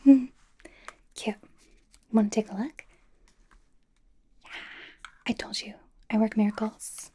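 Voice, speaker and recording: A woman playing a bubbly, nervous young K-pop idol in an ASMR roleplay, whispering softly in a chatty, rambling, reassuring way. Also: medium pitch, fairly even intonation, slow pace, long pauses, a little hiss or hum, close to an everyday voice. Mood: bubbly, awkward. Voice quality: soft, breathy whisper.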